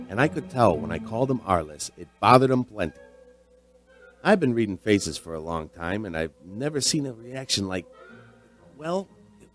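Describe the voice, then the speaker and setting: A man acts out a folksy veteran barman spinning a radio-play mystery. His delivery swings up and down with lively intonation at a middling pitch, rambling and conversational, with music playing underneath. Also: natural voice. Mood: uneasy, curious. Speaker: narrator and lead character. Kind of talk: folksy radio drama storytelling. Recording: music behind the voice.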